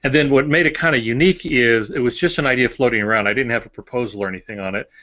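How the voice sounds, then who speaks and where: An older man, a novelist co-hosting a podcast, explaining in a casual, halting way with short repetitions. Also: mid-range voice, pushed and bright. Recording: dull lo-fi voice recording.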